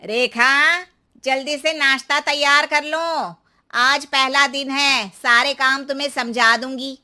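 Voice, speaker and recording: Sharp, high-voiced domineering mother-in-law, acted by a woman. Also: fairly even intonation, slow, full character voice. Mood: commanding. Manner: bossy, taunting. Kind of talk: acted moral-story dialogue.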